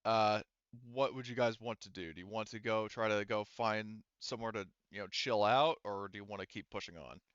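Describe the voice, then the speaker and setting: A man's voice: fairly low pitch, brisk, hardly any pauses, a bit bright, clean tone, no echo. A man working as a game master, casually narrating and ruling on a tabletop game in a rambling, profane, self-correcting way. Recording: slightly dull, lo-fi microphone sound.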